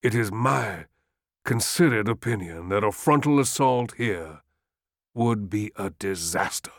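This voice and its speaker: A weary general presses his case earnestly and with insistence, as a male narrator voices him. He speaks slowly. The voice is close and dry. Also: lowish voice, expressive, no room sound. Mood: urgent.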